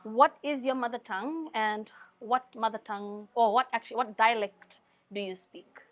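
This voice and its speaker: A woman from Fiji with a Fijian English accent, hosting a casual interview with a band and asking questions with soft fillers. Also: a little high, steady tone, moderate pace, no room sound. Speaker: podcast host.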